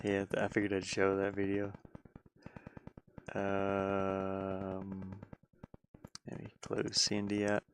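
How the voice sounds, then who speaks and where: A man chatting casually like a streamer, thinking aloud in a halting, rambling way. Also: sits low, completely flat delivery, slight background noise. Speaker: streamer and hobby game designer. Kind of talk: casual rambling livestream chat.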